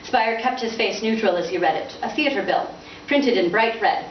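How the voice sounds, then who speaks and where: A woman author giving an expressive, lightly dramatized live reading of fiction. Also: mid-range voice, normal speed, recorded from a distance. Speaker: author reading from their novel. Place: indoors in a bookstore. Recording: muffled, echoey, noisy room from afar.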